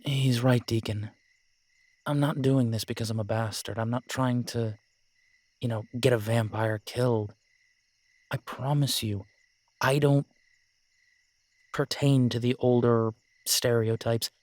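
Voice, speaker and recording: A man playing a determined, pragmatic werewolf pack leader in an audio roleplay, measured, reassuring and matter-of-fact. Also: medium pitch, steady tone, darkish tone, no echo, close to an everyday voice. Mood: firm, explaining.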